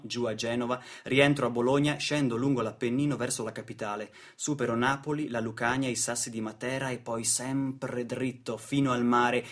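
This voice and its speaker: Theatrical male storyteller, deliberate phrasing. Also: mid-range voice. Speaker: stage storyteller and actor. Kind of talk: theatrical narrative monologue.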